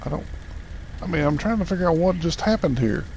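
A man's voice: fairly high pitch, lively intonation, brisk, gravelly, a little hiss or hum, poor audio. A man improvising in an online roleplay game in a folksy, profane manner. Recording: game audio with loud background clamor.

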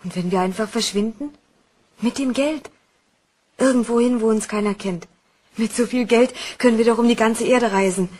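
An actress playing an anxious, pleading young fiancée and accomplice in a German crime radio play. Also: female voice, on the high side, moderate pace, darkish tone, natural voice. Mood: fearful, pleading.

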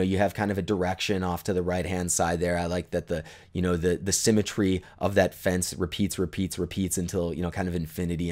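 A man in his thirties casually talking through viewer photos at home, relaxed and enthusiastic, with lots of fillers. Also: sits low. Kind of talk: casual chat. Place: bright living room at home. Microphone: desk condenser mic in frame.

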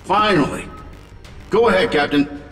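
A cocky, wry superhero delivers dry quips in a fairly high male voice. The game cutscene has a long reverb and faint music behind him. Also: a little slow, fairly bright tone. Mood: dry sarcasm. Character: wry, cocky genius armored superhero man.

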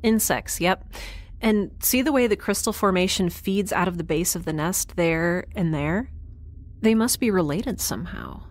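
A woman's voice: on the low side, moderate pace. A woman playing a thoughtful, curious young scientist, conversational and a little hesitant, in a naturalistic audio drama.

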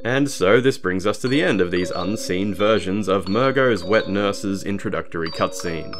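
A man narrating a scripted game analysis in a measured, formal documentary manner. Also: low-pitched, over music. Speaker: video narrator.

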